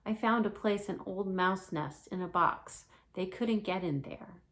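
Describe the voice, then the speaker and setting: A woman voicing a frightened young female winged kitten from a storybook, in short halting phrases. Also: mid-range voice, a bit bright, close to an everyday voice. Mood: shaken, confiding. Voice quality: hoarse, hissing when afraid.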